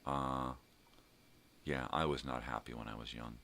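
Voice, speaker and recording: An older man with a very deep, gravelly voice chats casually in a rambling, drawn-out way, as a vlog host. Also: steady tone, a bit bright, slight background noise. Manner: rambling, drawn-out, mild swearing.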